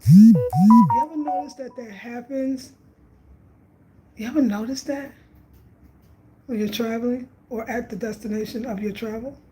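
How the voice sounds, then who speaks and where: A woman's voice: a little high, normal speed, long pauses, warm tone, faint music behind, rough recording. A woman in her fifties from New York, talking casually and reflectively about travel and spirit, repeating phrases for emphasis. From Brooklyn, New York. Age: 50s. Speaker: travel vlogger.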